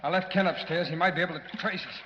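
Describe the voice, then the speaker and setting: An actor playing a steady, protective costumed crime-fighter in a 1940s radio serial, reassuring and commanding. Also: male voice, fairly high pitch, quick pace. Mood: anxious, encouraging. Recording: echoey, muffled, noisy old radio recording.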